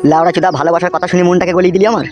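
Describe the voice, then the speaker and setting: A man's voice: high voice, steady tone, racing, darkish tone, faint music behind, close to an everyday voice. A man voicing a blunt, foul-mouthed, world-weary young henchman in an amateur comedy cartoon. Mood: scolding, blunt. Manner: blunt, swearing, rural colloquial talk.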